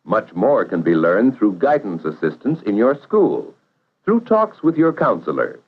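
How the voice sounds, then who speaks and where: A man narrating a 1950s educational film in a measured, instructive way, posing questions to the viewer. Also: lowish voice, big pitch swings, breathy edge. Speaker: narrator of vocational training film. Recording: dull vintage 1950s film soundtrack.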